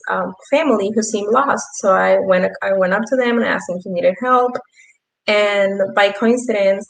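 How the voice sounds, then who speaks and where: A woman's voice: medium pitch, gravelly, slight background noise, poor audio. A young woman from Peru whose first language is Spanish, telling an earnest story on a youth panel with many fillers. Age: young adult. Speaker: youth intern.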